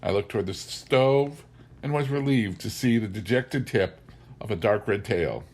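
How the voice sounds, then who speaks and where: A man narrating a humorous memoir as an audiobook, with wry, measured storytelling. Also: on the low side, a little mellow. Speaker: audiobook reader.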